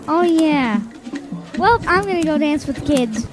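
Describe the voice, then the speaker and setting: A woman acts out an eager young boy with a very high, childlike voice in an audio drama. He is excitable and keen, with faint music behind him. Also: unhurried. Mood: eager, excited.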